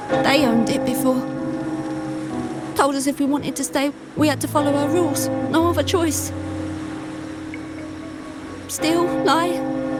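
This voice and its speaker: A young vagrant girl from the slums in a video game. Her voice is high and timid, with a hesitant, pleading earnestness. Music runs clearly behind her. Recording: studio voice under game music.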